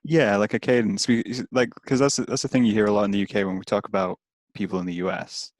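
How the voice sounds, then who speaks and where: A man's voice: fairly low pitch, steady tone, quick pace, no echo. A man with an English Midlands accent chatting casually as a podcast host, hesitant, with lots of fillers and restarts. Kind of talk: casual chat.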